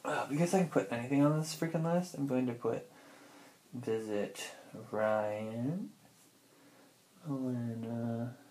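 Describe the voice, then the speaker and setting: A man's voice: mid-range voice, slow pace, long pauses, a little mellow, slight background noise. A young adult man talking casually to himself in an informal, rambling monologue that often interrupts itself. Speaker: personal audio diarist. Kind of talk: casual thinking-aloud monologue.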